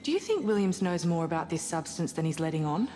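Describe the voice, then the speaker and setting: An actress playing an earnest, suspicious scientist aboard a spaceship in a cinematic video game. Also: female voice, fairly low pitch, sing-song, fast talker, warm tone. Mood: suspicious, urgent. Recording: long reverberant hall, music behind.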